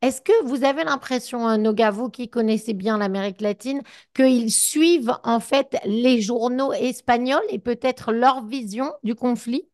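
A woman's voice: on the high side, unhurried, darkish tone. A woman interviewer putting long, conversational questions to a guest, with some hesitant phrasing.

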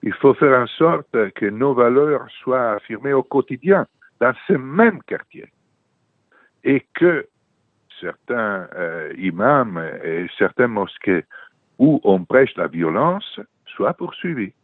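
An older man with an Italian accent, a political journalist answering radio interview questions earnestly, pausing often with fillers. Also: mid-range voice, big pitch swings, no echo. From Italy. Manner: earnest, hesitant with many fillers. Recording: tinny dry phone line.

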